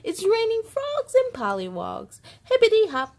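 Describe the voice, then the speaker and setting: A woman voicing a cheerful, excited little boy who plays at being a frog, bouncy and exclaiming, for a storybook. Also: extremely high pitch, a little slow, pitched above the performer's own voice, heavily acted. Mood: playful, excited.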